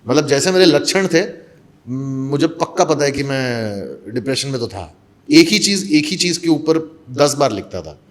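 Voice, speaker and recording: A man's voice: mid-range voice, lively intonation, normal speed. A man from Mumbai, an actor and voice-over artist, telling stories in a casual interview and mixing Hindi with English. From Mumbai, India.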